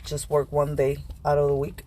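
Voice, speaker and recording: A middle-aged woman vlogger with a slightly hoarse voice from a cold, chatting casually and rambling while she eats lunch in her car. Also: deep voice, monotone, normal speed, background noise. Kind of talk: casual chat.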